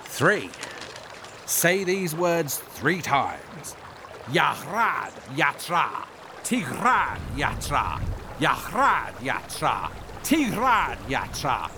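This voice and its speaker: A nervous, whiny guide in a comedic radio play. His high voice swings wildly up and down as he stammers through evasive excuses. Water sounds run clearly behind him. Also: very animated, extremely slow, background noise, full character voice. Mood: solemn chanting. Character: nervous, reluctant male woodland guide, evasive and eager to please. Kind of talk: comedic audio drama acting.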